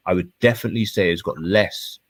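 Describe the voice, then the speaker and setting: Male, thirties, British. Low-pitched, insistent debater who stumbles and repeats himself, recorded dry. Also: no room sound. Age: 30s. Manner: repetitive, halting, insistent, sweary slang. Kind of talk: heated argumentative debate.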